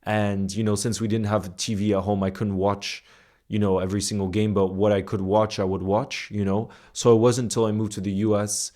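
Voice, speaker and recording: A young man speaks in a low voice that stays fairly level in pitch. He tells a personal story to his viewers, earnest and reflective. He often restarts phrases and fills the gaps with "you know". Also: little pitch movement, fairly quick, mellow. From Iceland and the United States. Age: young adult. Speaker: football content creator. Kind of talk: casual personal storytelling to camera.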